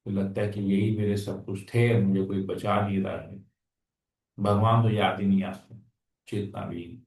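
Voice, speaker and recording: A man's voice: fairly low pitch, level tone, moderate pace, a little mellow. Man explaining scripture in an echoey room. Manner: reads verses then explains them in plain talk. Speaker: scripture class speaker. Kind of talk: Hindi scripture reading with commentary. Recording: echoey room, far from the mic.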